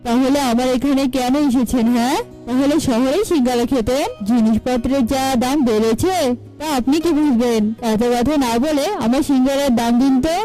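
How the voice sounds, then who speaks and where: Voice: high voice, steady tone, normal speed, over music, close to an everyday voice, poor audio. A performer voicing a greedy, rude crow shopkeeper in a children's cartoon, haggling and scolding. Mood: scornful, irritated.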